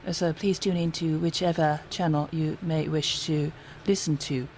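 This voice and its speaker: A man moderating an event, making a formal introduction in a halting, hesitant manner. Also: fairly high pitch, steady tone. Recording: noticeable background noise at conference.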